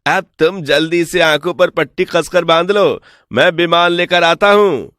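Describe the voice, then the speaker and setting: A crafty village trickster played by a man for an animated folk tale. His voice sits a little high and is recorded dry. He coaxes and boasts with false respect, always selling his scheme. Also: measured pace, no echo, soft background music. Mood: sly, persuasive. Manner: persuasive, boastful, mock-respectful. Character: sly smooth-talking male village swindler posing as returned from heaven.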